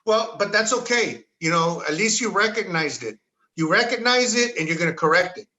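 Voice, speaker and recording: A man's voice: high-pitched, animated, moderate pace, bright, forceful tone. A middle-aged man, a podcast guest who rambles through a story and mixes in Spanish words. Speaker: podcast guest, bar owner. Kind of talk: casual podcast conversation.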